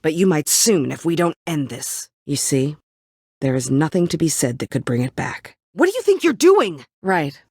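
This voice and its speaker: A woman's voice: low voice, very animated, normal speed, darkish tone, slightly rough, dry recording, clean studio sound, heavily acted. An actress playing a bitter, world-weary woman survivor set on vengeance in a story video game, brooding, curt and dryly sardonic. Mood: tense, indignant. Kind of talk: dramatic video game voice acting.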